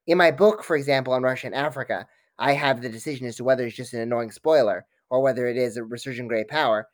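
Guy academic being interviewed, talks really fast, lots of restarts, long analytical answers, dry close sound. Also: mid-range voice, dry recording. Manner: wordy, halting with repeated false starts. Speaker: academic expert guest.

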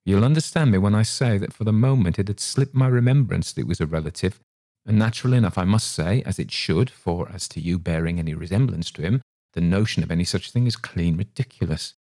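A man's voice: deep voice, a little fast, mellow, dry recording, studio quality. A man voicing a pompous, long-winded male innkeeper who talks in tangled, ungrammatical phrases. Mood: self-important. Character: pompous garrulous male innkeeper, middle-aged.

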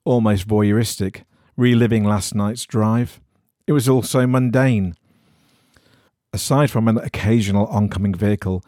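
A man reading an eerie horror story in a measured, suspenseful storytelling manner. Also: fairly low pitch, dark tone, dry recording, studio quality. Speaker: story reader.

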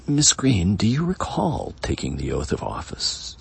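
A man's voice: deep voice, huge pitch swings, a little slow, gravelly, breathy edge, voice pushed up, exaggerated voice. A man playing an earnest, insistent prosecutor in a dramatic reading, formal and emphatic. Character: earnest, insistent male prosecutor pressing a witness.

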